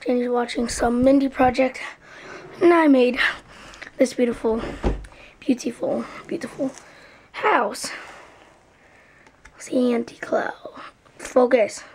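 A woman's voice: fairly high pitch, steady tone, slow, long pauses, slight background noise. A young woman chatting casually and half-whispering while showing something off to a camera. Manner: soft, half-whispered, laid-back. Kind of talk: casual chat, showing off a gingerbread house.

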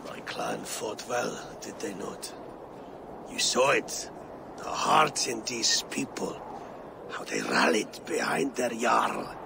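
A very gravelly old Viking jarl, proud and battle-weary, speaking slowly and with wide, stately swings. Game ambience sits clearly behind him. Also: male voice, lowish voice, expressive, noisy background, exaggerated voice. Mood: proud, strained. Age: older. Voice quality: gravelly, weathered. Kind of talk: video game cutscene acting.

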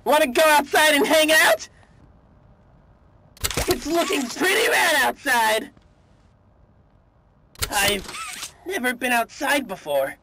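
A man's voice: very high voice, sing-song, takes it slowly, long pauses, background noise, heavily acted. A man voicing an eager, naive young chicken toy, friendly but timid, in a horror video game. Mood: excited, inviting.

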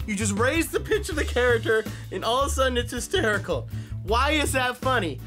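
Very animated American man reacting casually. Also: very high-pitched, brisk, faint music behind. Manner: animated, jokey. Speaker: host, voice impressionist. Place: small furnished room, indoors.

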